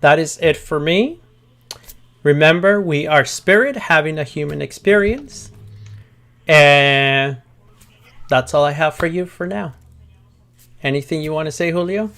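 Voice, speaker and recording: A man's voice: a little high, unhurried, pauses often, fairly bright tone. A man with a slight Spanish accent, a Spanish native speaker, hosting a casual livestream chat as a chatty, giggly storyteller. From United States. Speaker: host.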